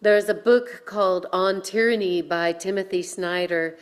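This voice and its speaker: A woman minister delivering a sermon in a deliberate way, with pauses and dramatic emphasis. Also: on the low side, steady tone.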